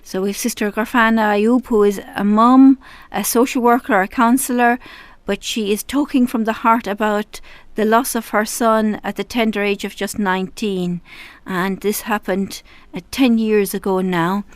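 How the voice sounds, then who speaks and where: A woman hosting a radio talk show from a studio, gentle and affirming, with frequent soft murmurs of agreement. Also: medium pitch, steady tone, normal speed, no echo.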